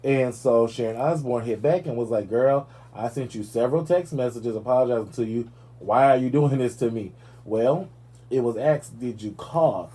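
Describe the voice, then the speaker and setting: A man's voice: mid-range voice, moderate pace, slightly rough. A man hosting a live talk show, chatting casually and sassily about celebrity gossip, with playful asides. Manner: sassy, animated, playful asides.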